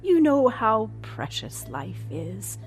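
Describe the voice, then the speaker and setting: An actress playing a vengeful, patient elderly woman in an audio drama, deliberate and gloating, sometimes sinking to a whisper. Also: fairly high pitch, very animated, faint music behind, low-quality recording. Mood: calculating. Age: older. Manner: slow, deliberate, dropping into whispers.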